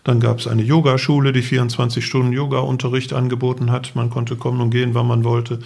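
A man with a mid-pitched, gravelly-edged voice talks as an interview guest. He explains things in a matter-of-fact, detailed way, in a conversational storytelling style. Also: level tone, brisk, darkish tone. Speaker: interview guest, enduro event organizer; architect for the city.

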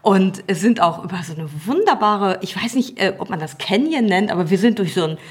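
A young woman co-hosting a motorcycle vlog, telling a ride story in a giggly, animated way. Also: medium pitch, a little fast, slightly rough. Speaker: vlog co-host, rider. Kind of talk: casual chat.

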